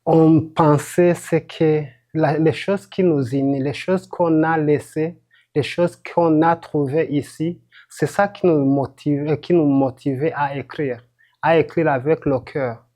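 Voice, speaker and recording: A man's voice: on the high side, steady tone, a little slow, warm tone. A man from Burundi, a university student, giving an earnest personal testimony in simple phrasing.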